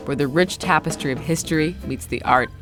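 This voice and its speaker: A woman's voice: low-pitched, a little fast, music in the background. A woman hosting a podcast, moving between scripted introduction and casual interview questions. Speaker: podcast host interviewing an author.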